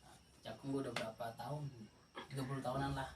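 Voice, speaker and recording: Middle-aged podcast host with a Jakarta accent, chatty, quick to laugh; recorded far off the mic in an echoey room with clear background noise. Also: male voice, medium pitch, level tone. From Indonesia. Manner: laughs often, sums up the guest's answers. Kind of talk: casual chat.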